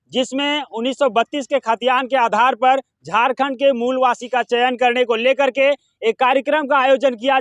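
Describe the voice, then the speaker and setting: ऊँची आवाज़ वाला पुरुष फ़ील्ड रिपोर्टर, झारखंडी लहजा. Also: male voice, very high voice, a bit bright, no room sound. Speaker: field reporter. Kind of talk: on-location news interviewing. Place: outdoor public ground.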